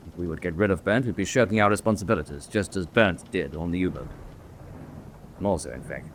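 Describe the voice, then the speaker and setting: An actor playing a principled German senior U-boat officer in a radio drama, deliberate and authoritative. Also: male voice, deep voice, slight background noise, close to an everyday voice. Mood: grave, weighing.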